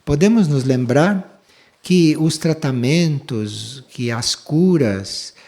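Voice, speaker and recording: An older man giving a slow, measured spiritual lecture, pausing often between phrases. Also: fairly high pitch, lively intonation, darkish tone. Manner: slow, measured, with long pauses. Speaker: spiritual teacher giving a talk.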